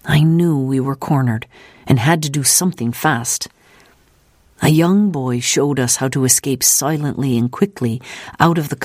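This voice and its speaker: Narrator doing a steadfast missionary lady in an audiobook, grave n composed, telling of danger, mid pitch, dry sound. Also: a little mellow, no echo, natural voice. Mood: grave, somber. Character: steadfast veteran missionary woman recounting danger.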